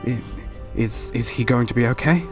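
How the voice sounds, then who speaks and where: A man's voice: mid-range voice, normal speed, distant mic, lightly acted. A man playing a shaken, bewildered local caught up in a horror audio drama, startled and anxious. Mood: dazed. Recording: loud music, slightly off-mic.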